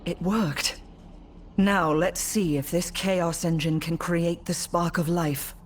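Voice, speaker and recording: A woman voicing a determined, studious necromancer in a fantasy game, serious and measured as she muses aloud. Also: lowish voice, steady tone, noisy background. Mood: curious. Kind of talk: fantasy game character acting.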